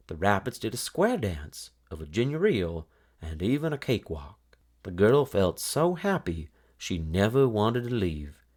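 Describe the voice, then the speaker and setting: A man narrating a children's storybook aloud in an expressive, dramatic style. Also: fairly low pitch.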